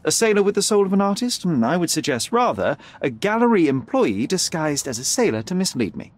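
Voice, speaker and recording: A man's voice: a little high, very animated, a little fast. A man playing a young, brilliant detective in a video game, cool, rational and precise, with a slightly haughty manner. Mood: deductive, smug. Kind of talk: video game cutscene acting.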